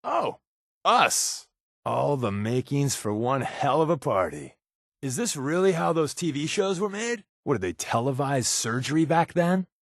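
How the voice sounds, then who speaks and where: Sardonic male undercover spy, American accent, video game companion, casual sarcastic quips, animated swooping intonation, dry studio sound. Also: fairly high pitch, measured pace, vocal fry, no echo, exaggerated voice. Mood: playful, sarcastic. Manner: wry, sarcastic, casual quipping.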